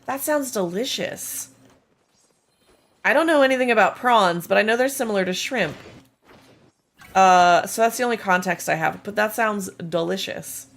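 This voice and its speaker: A woman with a slightly hoarse, gravelly voice, chatting casually with viewers on a game live stream while reading and answering chat. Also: medium pitch, normal speed, long pauses. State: slightly hoarse, losing her voice.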